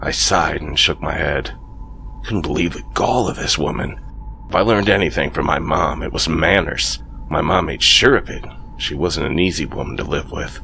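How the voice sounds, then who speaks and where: Man, extremely low voice, gravelly fry, bright edge, faint hiss, horror story narration. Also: normal speed. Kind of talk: scripted first-person horror narration.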